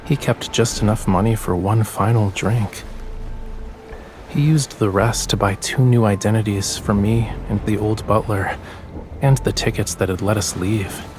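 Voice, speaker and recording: An actor playing a young, brooding, teasing artist in a romance game, speaking softly and intimately. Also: male voice, fairly low pitch, fairly even intonation, measured pace, natural voice. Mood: tender, brooding. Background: music behind the voice.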